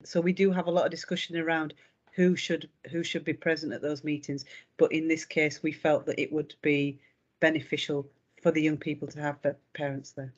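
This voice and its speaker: A woman's voice: low-pitched, level tone, a little fast. A woman, a liaison officer speaking matter-of-factly on a video call. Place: home room indoors. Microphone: video call webcam. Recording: dull lo-fi video call audio.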